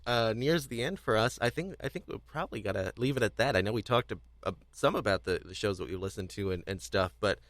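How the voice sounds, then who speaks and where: A young man with a fairly low voice hosts a casual audio discussion. He talks in a halting, conversational way, doubling back on words. The recording is dry, with hardly any room sound. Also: fairly bright tone, no echo. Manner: halting, repeats words, agreeable interjections. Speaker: podcast host.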